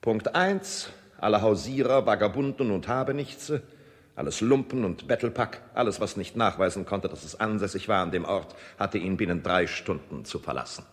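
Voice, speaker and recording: Man acting haughty royal chamberlain, low voice, pompous, emphatic, officious, radio drama, hall reverb. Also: animated, moderate pace, lightly acted. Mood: officious, indignant. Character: pompous, indignant snobbish royal chamberlain lord. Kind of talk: radio play monologue.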